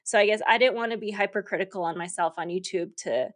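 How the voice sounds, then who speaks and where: A woman's voice: mid-range voice, fast talker. A woman in her thirties, a podcast host being interviewed, talking casually with lots of fillers and frequent laughter. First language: English. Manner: chatty, laughs often, many fillers.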